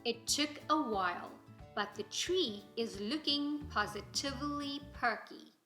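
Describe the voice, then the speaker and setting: A woman reads a children's story aloud in an expressive, deliberate storytelling manner. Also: sits high, faint music behind. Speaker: storybook reader. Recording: roomy, echoing indoor sound.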